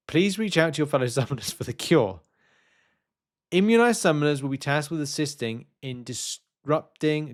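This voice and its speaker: A man hosting a gaming news video, reading out announcements and musing on them in a casual, hesitant, rambling way. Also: medium pitch. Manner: hesitant, rambling, thinking aloud.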